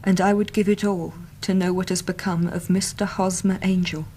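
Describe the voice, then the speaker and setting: An English actress playing an earnest, talkative young Victorian typist in a radio drama, chatty and plain-spoken. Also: female voice, fairly low pitch, flat delivery, normal speed, dark tone, a little hiss or hum, lightly acted, low-quality recording. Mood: pleading, earnest.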